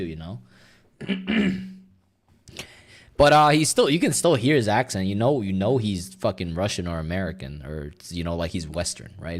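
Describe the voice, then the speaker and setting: A man's voice: fairly low pitch, a bit bright. A young man whose first language is Mandarin, chatting loosely and profanely while reacting on a stream. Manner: rambling, profane, filler-heavy. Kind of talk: casual reaction stream chat.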